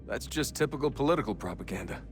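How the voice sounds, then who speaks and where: A man's voice: medium pitch, lively intonation, fast talker, natural voice. An actor with an American accent playing a troubled, earnest young man in a video game, speaking in a hesitant, understated way. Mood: matter-of-fact. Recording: music behind the voice.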